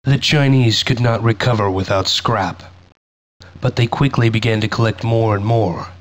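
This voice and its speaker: A grave male soldier played by an actor tells the story of a past war in a low voice. His delivery is solemn and measured, like scripted game cutscene narration. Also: slight background noise, close to an everyday voice. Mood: grave, reflective.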